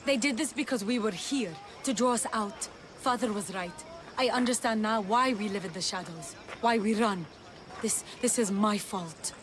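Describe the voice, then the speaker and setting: A woman's voice: mid-range voice, moderate pace, noisy background, natural voice. An actress playing an earnest, regretful young woman in hiding in a video game cutscene. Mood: guilty, realising.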